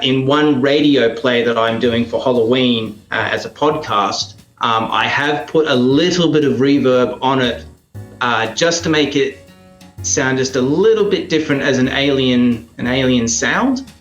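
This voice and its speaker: A man's voice: medium pitch, fairly bright tone, roomy sound, highs cut off. A man being interviewed as a sound effects maker, casually and hesitantly explaining and demonstrating how he works. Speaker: radio drama maker and sound effects artist, interview guest.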